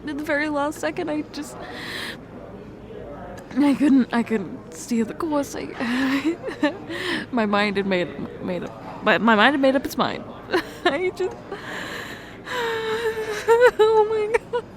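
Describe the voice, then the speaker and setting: A woman performing an emotional ASMR roleplay confession, tearful and halting, repeating words and breaking off. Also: sits high, very animated, crowd noise. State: tearful, crying.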